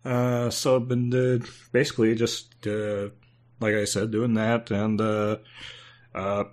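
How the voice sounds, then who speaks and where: Man, low voice, congested, stuffy, hesitant, lots of fillers, casual podcast chat. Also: little pitch movement, slow, a little mellow. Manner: hesitant, many fillers, trailing off. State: congested from allergies. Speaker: podcast co-host.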